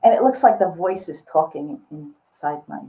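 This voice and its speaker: A woman in her sixties chatting casually and expressively on a video call, with a slightly husky voice. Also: deep voice, moderate pace, a little hiss or hum, rough recording. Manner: expressive, exclaiming. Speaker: guest artist. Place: small indoor studio room with bookshelves.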